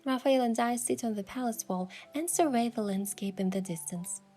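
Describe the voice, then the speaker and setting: Game heroine, female performer: young would-be princess, mellow and soft, wistful first-person storytelling, faint music behind. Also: on the high side, moderate pace, close to an everyday voice. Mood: soft, descriptive. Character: curious young woman posing as an indulgent princess. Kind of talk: scripted first-person story narration with acted dialogue.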